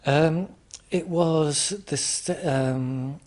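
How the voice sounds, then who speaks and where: A man from England answering interview questions in a hesitant, reflective way, with frequent restarts and pauses. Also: on the high side, steady tone, a little mellow. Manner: hesitant, many restarts and ums. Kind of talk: conversational interview.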